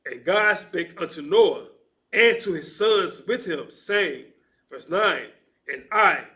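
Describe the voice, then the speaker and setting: A man reads scripture aloud at a very slow, careful pace. His voice sits a little high and sounds bright. He often stops and restarts hard words. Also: expressive. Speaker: scripture reader. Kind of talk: reading scripture aloud in a religious class.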